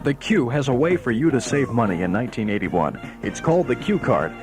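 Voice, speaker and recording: A man's voice: mid-range voice, very animated, a little fast. A man reading a scripted radio station promo as its announcer. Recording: music bed under radio promo. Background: promo music.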